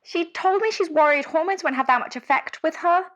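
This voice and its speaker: A woman's voice: extremely high pitch, a little fast, a bit bright, dull audio. A woman playing an anxious, devoted caller to a radio advice show in an audio drama, hesitant and earnest. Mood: worried. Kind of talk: scripted audio drama phone-in.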